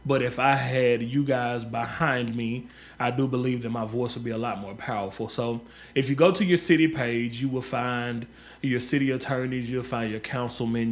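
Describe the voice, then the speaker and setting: A man, a local community organizer making an earnest radio appeal, often restarting and repeating words. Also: medium pitch. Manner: halting, many repeated words and fillers. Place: radio studio.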